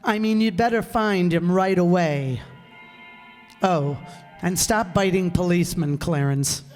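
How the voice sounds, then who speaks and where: An actor in a radio play as a stern senior angel, measured, admonishing and authoritative. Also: male voice, high-pitched, darkish tone, soft background music. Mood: reproving. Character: stern, unhurried male senior angel in heaven. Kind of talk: live radio play acting. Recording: stage hall with long reverb.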